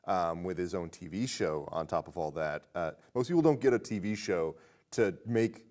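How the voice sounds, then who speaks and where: A man with a low, deep voice hosts a casual film podcast. He talks conversationally, in the manner of an informal discussion between co-reviewers. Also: normal speed, darkish tone. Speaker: podcast host.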